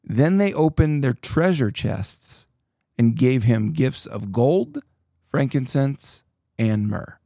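Guy pastor on a church podcast, middle pitch, preachy emphasis, really dry mic. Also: slow, no echo. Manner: animated, preachy emphasis, quotes scripture. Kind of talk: casual church podcast chat.

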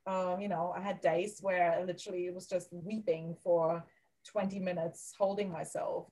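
A woman with a German accent speaks in a mellow, low voice with very little rise and fall. She talks slowly and hesitantly, often pausing to find her words as a podcast guest. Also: level tone. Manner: soft, halting, searching for words. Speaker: guest, women's coach. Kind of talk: podcast interview.

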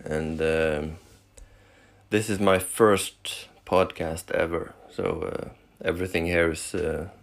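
A man from Norway with a Norwegian accent, speaking English as a second language while hosting a casual solo podcast. He pauses often, with many fillers and restarts. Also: sits low, steady tone, gravelly. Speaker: podcast host.

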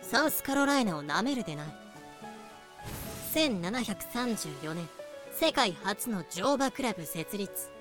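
A woman's voice: medium pitch, big pitch swings, unhurried. A woman acting a haughty wise wolf woman who sells slaves, using proud, old-fashioned courtly speech in a fan-made voice drama. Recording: music behind the voice.

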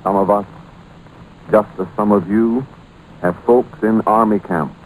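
Through the faint noise of an old radio recording, a man delivers a formal 1940s holiday address. His voice is on the low side, and he speaks very slowly and solemnly. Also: fairly even intonation, a little mellow, rough recording.

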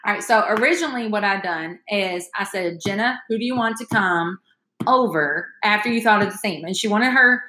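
Chatty woman with a bright voice. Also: mid-range voice, brisk, echoey room, a little hiss or hum. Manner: chatty, addresses listeners as y'all, animated. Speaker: podcast host. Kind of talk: casual storytelling chat.

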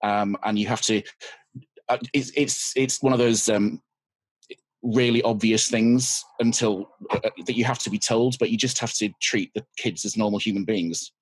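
A man's voice: lowish voice, steady tone, normal speed, husky, no room sound, rough recording. A man, a physics teacher talking as a guest in a casual interview, hesitant and dry, with many ums. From Yorkshire, England. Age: 40s.

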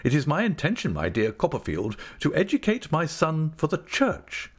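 A man voicing a pompous, complacent middle-aged gentleman with a grandiloquent, ostentatiously resigned manner in an audiobook. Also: a little high, wildly swooping pitch, moderate pace, voice pushed up. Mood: complacent, grand. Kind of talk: audiobook character acting.

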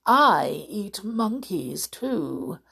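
A woman voicing a huge, greedy, wicked male crocodile in a storybook, boastful and savouring the words slowly. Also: mid-range voice, sing-song, vocal fry, a bit bright, husky, full character voice. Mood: gloating.

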